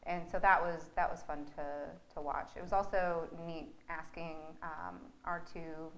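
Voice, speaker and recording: A woman's voice: low-pitched, fairly even intonation, a little slow. A woman talking casually as a theatre team member being interviewed. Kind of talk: casual chat. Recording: faint background noise.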